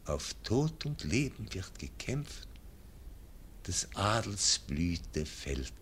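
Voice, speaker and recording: A man's voice: sits low, very animated, a bit bright, slight background noise, exaggerated voice. A dying old nobleman, whispering. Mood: solemn. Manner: slow, fading, drops into whispers. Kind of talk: classic radio drama verse.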